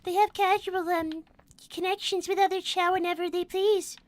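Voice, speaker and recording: A woman's voice: very high voice, fairly even intonation, normal speed. A woman voicing a prim, prissy young female rabbit girl who talks in formal, old-fashioned phrases, performed live as improvised character roleplay. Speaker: character voice actor on a live stream.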